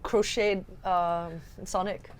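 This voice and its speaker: A woman's voice: on the low side, steady tone, takes it slowly, clear, resonant tone. A woman co-hosting a podcast, chatting casually with questioning, playfully skeptical interjections. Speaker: podcast co-host. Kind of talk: casual chat.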